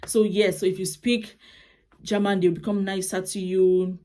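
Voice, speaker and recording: A young woman with a Nigerian accent talks straight to camera, sharing practical advice in a relaxed, chatty way. Her voice sits at a middle pitch, and she often repeats or restarts a phrase mid-thought before carrying on. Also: normal speed. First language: Yoruba. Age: young adult. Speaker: vlogger. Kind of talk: casual advice vlog.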